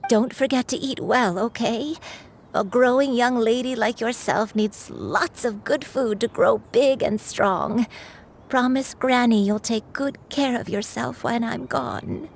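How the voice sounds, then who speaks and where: A loving old grandmother, slow and soothing. Her slightly raised voice has a gravelly crackle, and she drawls each word warmly to a child. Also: a little high. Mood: tender. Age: older. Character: gentle elderly grandmother, a crane in human form. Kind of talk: game cutscene voice acting.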